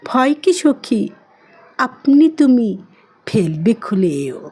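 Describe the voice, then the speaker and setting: Slow, high-voiced woman reciting poetry. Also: sing-song, mellow, husky.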